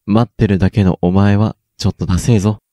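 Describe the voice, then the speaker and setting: A man's voice: lowish voice, steady tone, fast talker, no room sound, clean studio sound, natural voice. A young man playing a teasing, supportive schoolboy in a radio drama. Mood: advising. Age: young adult. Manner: playful, gently needling. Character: teasing, supportive schoolboy best friend Ayato. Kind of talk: Japanese student radio drama acting.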